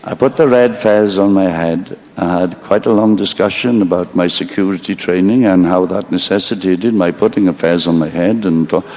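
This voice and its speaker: Rambling, swearing low-voiced man on stage. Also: mellow. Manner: rambling, swearing, self-mocking, breaking off to laugh and apologize. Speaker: stand-up storyteller. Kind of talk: rambling live stage monologue.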